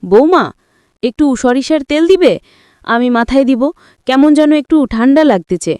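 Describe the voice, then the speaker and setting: Woman voicing a high-pitched, hesitant old farm labourer. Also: lively intonation, normal speed, darkish tone, clean tone, no room sound, studio quality. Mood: polite request. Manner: hesitant, deferential asking. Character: polite, hesitant elderly male farm labourer.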